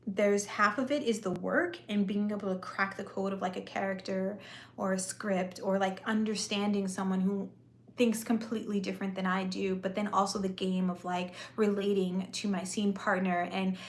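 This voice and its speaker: A woman chatting with viewers on a live stream, talkative and easygoing, with a soothing voice and lots of "like" and "you know". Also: medium pitch. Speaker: vlogger and aspiring actress. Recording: echoey room.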